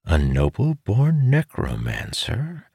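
A man playing a wry, low-key noble adventurer in a fantasy audiobook. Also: huge pitch swings, takes it slowly, a little mellow, husky, no echo, clean studio sound, full character voice. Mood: puzzled.

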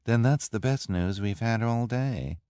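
A man's voice: mid-range voice, unhurried, a little mellow, clean tone, dry recording, clean studio sound, pitched above the performer's own voice, lightly acted. A man voicing a callous, pale-faced henchwoman.

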